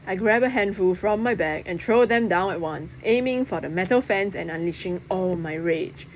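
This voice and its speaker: A woman reading a children's novel aloud in expressive narration. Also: fairly low pitch, normal speed. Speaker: audiobook reader. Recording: clear background noise.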